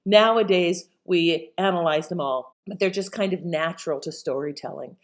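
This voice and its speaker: Chatty, lower-voiced woman host. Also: normal speed. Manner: chatty, conversational, appreciative. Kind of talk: casual commentary.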